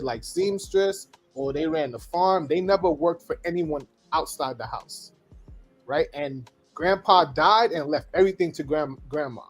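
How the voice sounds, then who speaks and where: Man, podcast co-host, blunt, joking, husky, slightly high voice. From Trinidad. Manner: blunt, joking, repeats words. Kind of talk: casual podcast chat.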